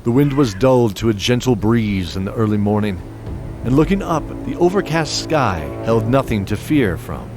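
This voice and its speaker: A man narrating an audio drama in a measured, descriptive storytelling manner. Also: mid-range voice, animated. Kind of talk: scripted narration. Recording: music bed under voice.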